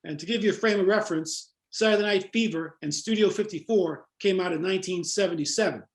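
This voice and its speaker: A man's voice: sits high, fast talker, reverberant. An older man with an American accent, reminiscing and explaining in a conversational interview. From Jamaica-born, raised in New York. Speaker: interview guest, former club promoter.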